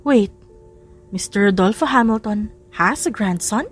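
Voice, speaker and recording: A woman's voice: medium pitch, animated, unhurried, breathy edge. A woman voicing a determined, intrigued young female reporter with a scheming streak in an audiobook drama. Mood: surprised. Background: music.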